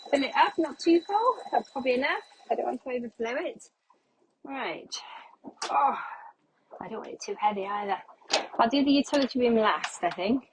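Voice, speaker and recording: A middle-aged woman chats away while she mops, thinking aloud and poking fun at herself. She sounds a little out of breath and slightly congested, with her voice starting to go. Her voice sits fairly high, with a faint hum of noise in the room. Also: lively intonation, moderate pace, pauses often. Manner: chatty, self-deprecating, thinks aloud. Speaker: cleaning vlogger. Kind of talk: casual chat while cleaning. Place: home kitchen while mopping.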